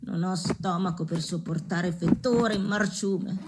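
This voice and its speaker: An actress playing a prim, haughty young nurse, snobbish and defiant, in an Italian radio drama. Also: female voice, lowish voice, fairly even intonation, a little slow, heavy background noise, close to an everyday voice. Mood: defiant, disgusted.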